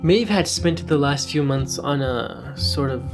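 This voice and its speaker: A man with a mid-range voice shares the narration of a fiction podcast. He sounds informal and conversational though scripted, with music clearly playing behind him. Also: mid-range voice, a little slow.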